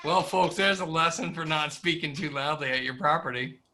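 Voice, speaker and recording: Male moderator, bright and forceful, probing questions, runs the call. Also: fairly high pitch. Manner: probing, quick follow-up questions. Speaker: show host.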